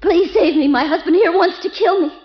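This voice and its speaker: An actress playing a terrified wife in an old-time radio drama, plaintive and earnest, with emphatic repetition. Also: female voice, very high-pitched, a little fast. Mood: frightened pleading. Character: Helen, terrified wife recounting a nightmare. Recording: dull old radio recording, faint music.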